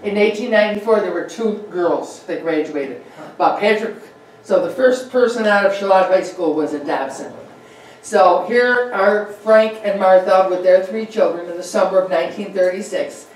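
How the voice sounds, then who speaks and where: A woman's voice: mid-range voice, normal speed, a little hiss or hum. A woman giving a chatty local history talk over slides, with fillers and asides. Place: meeting room with projector. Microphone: far room mic. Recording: very echoey room, distant mic.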